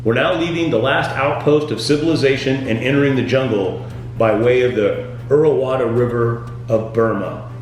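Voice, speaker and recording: A man delivering scripted comedic tour-guide patter as a boat skipper, with deadpan, punning jokes. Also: medium pitch, normal speed. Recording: very echoey room, music underneath.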